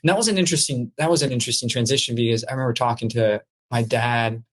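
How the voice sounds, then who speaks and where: A man's voice: mid-range voice, fast talker. A young man from Peru, raised in Florida, a podcast guest in casual chat who stammers a little at the start of his thoughts.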